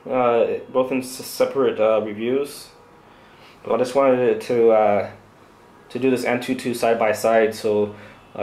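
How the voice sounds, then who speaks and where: A man giving an unscripted product review, speaking in a hesitant, halting, off-the-cuff way as a channel host. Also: mid-range voice, a little mellow.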